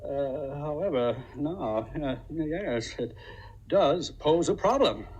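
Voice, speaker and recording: An actor playing a fussy, middle-aged senior partner in a 1950s radio comedy, formal and hesitant, with a husky voice. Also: male voice, on the high side, lively intonation, slow, a little mellow, highs cut off, exaggerated voice. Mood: exasperated, pompous. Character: fussy, pompous senior male business partner, easily flustered. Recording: vintage 1950 radio broadcast.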